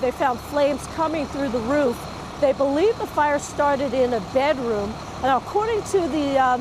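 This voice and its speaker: A woman with an American accent giving a live, partly ad-libbed news report as a field reporter outdoors. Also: sits high. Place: outdoors in a residential neighborhood. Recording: noisy outdoor street with vehicle sounds.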